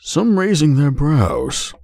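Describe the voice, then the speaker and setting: A man narrating a fan-made visual novel in an expressive, scripted reading. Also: on the high side, slow pace, no echo, clean studio sound. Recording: narration over background music.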